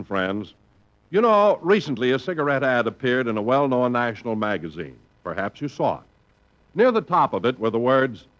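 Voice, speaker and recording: A man's voice: mid-range voice, fairly bright tone. A male radio announcer reading a commercial with a hearty salesman delivery, 1950s style. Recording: muffled old radio recording.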